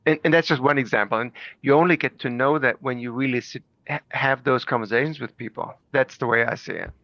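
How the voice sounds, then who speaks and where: Male, mid pitch, conversational explainer, dry lo-fi sound. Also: fast, a bit bright, no echo. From Germany. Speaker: group call participant.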